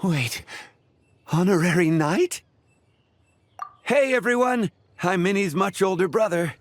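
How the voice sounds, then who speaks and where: A man's voice: high-pitched, expressive, slow pace, no room sound, exaggerated voice. An actor playing a friendly, casual young man, an older brother, in a game cutscene. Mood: cheerful, friendly. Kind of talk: English game dub cutscene acting.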